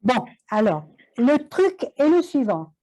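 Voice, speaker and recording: A woman with a high but mellow voice talks in a chatty way. She stops now and then for her words to be interpreted. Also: big pitch swings, takes it slowly, poor audio. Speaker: audience member asking a question. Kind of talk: question in an online Q&A.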